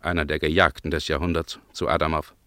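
A man narrating literary prose in a radio play, listing impressions in a measured, scripted manner. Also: extremely low pitch, flat delivery, pushed and bright, no echo, studio quality.

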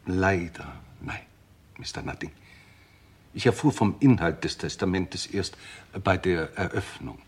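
An actor playing a formal, circumspect lawyer in a German radio crime drama, speaking precisely and deliberately. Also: male voice, deep voice, expressive, breathy edge, exaggerated voice. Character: formal, circumspect male lawyer reporting a will.

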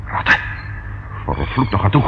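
An actor playing a detective's excitable, grumbling companion in a Dutch radio play, expressive and easily startled. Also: male voice, normal speed, muffled recording, natural voice. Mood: nervous, panicky. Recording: noisy old radio drama recording.

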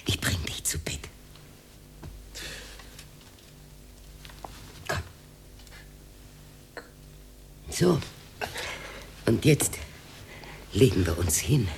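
Radio play role: lonely widow, older, tender and teasing, creaky half-whisper. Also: female voice, extremely low pitch, big pitch swings, measured pace, darkish tone, heavily acted. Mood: soothing, tender. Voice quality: breathy, often half-whispered. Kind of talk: intimate radio drama acting. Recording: 1980s studio radio play.

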